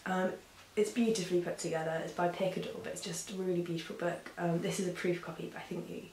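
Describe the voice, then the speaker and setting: A young woman, hoarse from a sore throat, chatting casually about books with frequent ums. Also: fairly low pitch, fairly even intonation, fast talker, darkish tone. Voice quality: husky. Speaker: book reviewer. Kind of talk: casual chat to camera. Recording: roomy echo with faint hiss.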